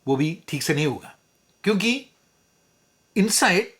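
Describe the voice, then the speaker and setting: A man's voice: high voice, big pitch swings, racing, fairly bright tone. A middle-aged man from Kerala with a Malayali English accent, a vlogger talking through his thoughts and switching between Hindi and English, with fillers and restarts. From Kozhikode, Kerala, India. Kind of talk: casual personal commentary.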